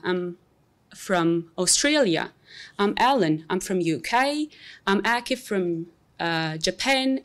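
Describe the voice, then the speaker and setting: A young woman with an Albanian accent teaching an English lesson, explaining slowly and patiently with many examples. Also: medium pitch, fairly bright tone. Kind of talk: recorded classroom lesson.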